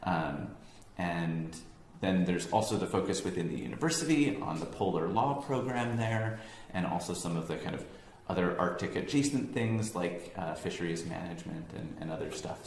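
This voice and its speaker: American man, low voice, casual presenter with lots of ums, in an echoey room with a faint hum. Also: moderate pace, gravelly. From United States, near Boston. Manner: relaxed, conversational, many ums and self-corrections. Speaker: science organization staff giving a talk. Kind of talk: informal presentation to an audience. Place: room with an audience.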